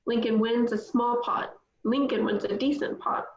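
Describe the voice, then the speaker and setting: A woman reads stage directions in a steady, plain way, her voice a little high. The recording sounds echoey and dull, like lo-fi audio in a roomy space. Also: moderate pace. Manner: plain, steady reading with small slips. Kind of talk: reading stage directions at table read.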